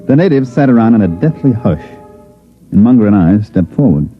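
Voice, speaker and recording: A man's voice: fairly low pitch, expressive, a little fast, natural voice. An American actor playing a dry, wisecracking special agent who narrates his case in a 1950s radio science fiction drama. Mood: wry narrating. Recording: dull vintage radio recording, music behind.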